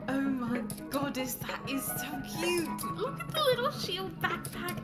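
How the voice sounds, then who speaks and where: A woman acts out an effusive female board member in an audio roleplay. Her voice is high and emphatic. Clear music runs behind her. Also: sing-song, measured pace, heavily acted. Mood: delighted. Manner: gushing, emphatic.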